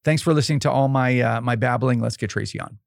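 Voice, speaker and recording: A man's voice: mid-range voice, fast, creaky, no echo, studio quality. A man hosting a podcast, talking casually and emphatically with lots of fillers and restarts, his voice getting worn out. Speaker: podcast host.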